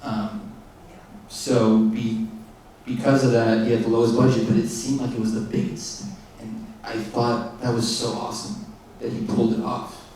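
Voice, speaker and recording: Man, filmmaker at a Q&A; halting, um-heavy, flat delivery, picked up from far off in an echoey theater. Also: mid-range voice, a little hiss or hum. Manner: halting, many fillers and restarts. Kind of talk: post-screening Q&A answers.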